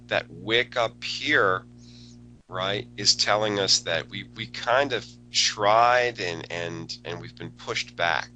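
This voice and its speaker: Slow, deep-voiced male market educator, bright tone, easygoing and rambling, just over a cold; faint hum. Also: low-quality recording. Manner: casual, rambling, many fillers and restarts. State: recovering from a cold, voice a bit lower. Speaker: forex educator hosting a webinar. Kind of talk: live market analysis webinar.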